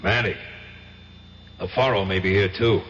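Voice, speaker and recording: An actor playing a tense, urgent police raid commander in a radio drama, barking terse orders. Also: male voice, deep voice, moderate pace, a bit bright. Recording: very noisy, dull old radio recording.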